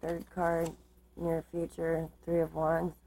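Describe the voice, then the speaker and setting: A woman giving a tarot card reading, reading aloud and explaining in a measured, halting way, her voice slightly starting to give out. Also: low-pitched, completely flat delivery, warm tone, rough recording. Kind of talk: tarot reading, part read aloud from cards, part informal explanation.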